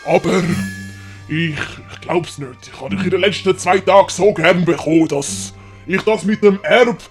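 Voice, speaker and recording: A woman with a Swiss German accent voicing a scheming, wheedling older great-uncle in an audio story, gravelly and oily. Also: lowish voice, moderate pace, faint music behind, close to an everyday voice. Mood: persuasive.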